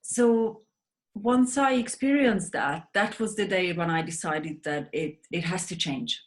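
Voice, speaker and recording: A woman's voice: lowish voice, unhurried. A woman in her thirties from Hungary with a Hungarian accent, a guest in an interview, speaking earnestly with frequent restarts over a video call. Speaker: guest, sleep advocate. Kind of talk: interview conversation.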